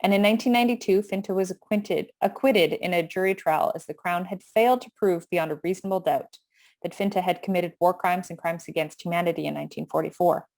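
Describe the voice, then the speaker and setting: A woman's voice: lowish voice, a little mellow. A woman with a Canadian accent delivering a prepared history lecture, reading steadily from a script with the odd small slip. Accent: Canadian English. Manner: measured, reads from a script with small slips. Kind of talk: prepared lecture read aloud.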